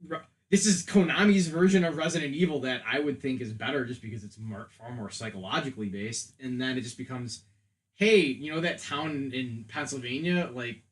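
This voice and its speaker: A man's voice: mid-range voice, brisk. A man talking casually and emphatically as a podcast co-host, giving strong opinions on entertainment. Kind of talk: casual film and game discussion.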